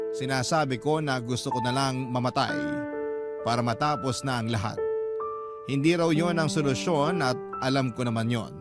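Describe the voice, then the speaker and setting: A man with a Filipino accent narrating a listener's letter as a radio host, in a dramatic storytelling style. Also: medium pitch. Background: loud music bed.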